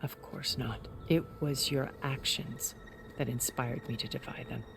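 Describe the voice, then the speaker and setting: Composed, creaky-voiced cultured woman. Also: a bass voice, fairly even intonation, noisy background, exaggerated voice. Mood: admiring, assured. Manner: measured, articulate, deliberate storytelling. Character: composed, secretive, cultured older woman, former intelligence broker. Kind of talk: video game cutscene dramatic acting.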